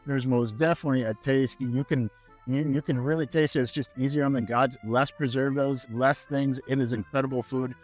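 A man's voice: medium pitch, fairly even intonation, fairly quick, soft background music. A man in his thirties from Canada, chatting casually to camera while walking through a grocery store on a lapel mic. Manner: chatty, upbeat, talks to camera with fillers. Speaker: fitness vlogger. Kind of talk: casual walk-through vlog.

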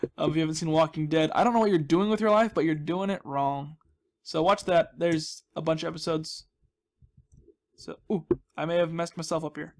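A man's voice: a little high, steady tone, moderate pace. A man hosting a gaming video with casual, rambling commentary, chatty and jokey with a self-deprecating streak.

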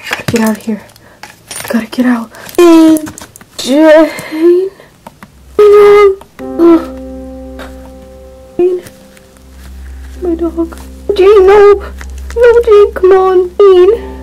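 A woman acting an injured young woman searching for her lost friend in an amateur audio drama, talking in a breathy half-whisper and often out of breath. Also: medium pitch, measured pace, long pauses, mellow, close to an everyday voice, rough recording. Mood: frantic.

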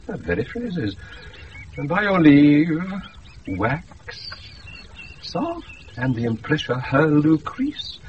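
An actor with a British accent playing a pompous, self-infatuated steward in a radio Shakespeare play. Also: male voice, fairly high pitch, wildly swooping pitch, slow, pauses often, full character voice. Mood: eager puzzling. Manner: pompous, savouring each phrase. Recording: muffled, noisy off-air radio recording.

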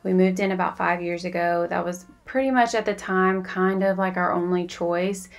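A woman's voice: fairly low pitch, fairly even intonation, moderate pace, creaky. A woman, chatting casually in a voice-over for her home vlog. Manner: chatty, confiding, says y'all. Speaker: vlogger.

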